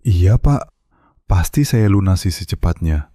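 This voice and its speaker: A polite humble satay seller. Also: male voice, low-pitched, animated, measured pace, noisy background. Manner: polite, deferential, eager. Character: humble middle-aged male satay seller, polite, desperate. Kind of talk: animated cartoon voice acting.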